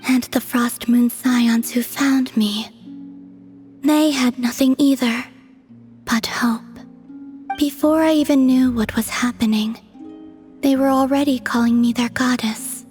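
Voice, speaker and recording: A woman voicing a serene, melancholy young moon goddess, breathy and whispery, speaking softly and poetically in a video game dub. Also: high voice, steady tone, faint music behind. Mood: wistful. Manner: soft, slow and poetic.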